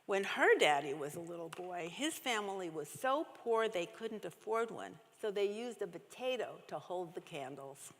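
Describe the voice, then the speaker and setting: A woman voicing an earnest, excitable young girl from a storybook, recorded in a reverberant church hall. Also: on the low side, normal speed, hardly any pauses. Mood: excited, earnest.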